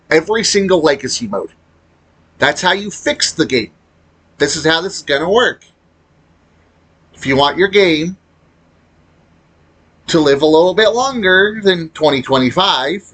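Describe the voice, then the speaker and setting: A man with a rough, husky voice talks through his gaming commentary off the cuff. His pitch moves up and down a lot while the pace stays slow. He rambles and halts, reworking his thoughts as he speaks. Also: a bit bright. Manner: rambling, halting delivery with trailing thoughts. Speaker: video creator commenting on a game. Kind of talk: unscripted casual gaming commentary.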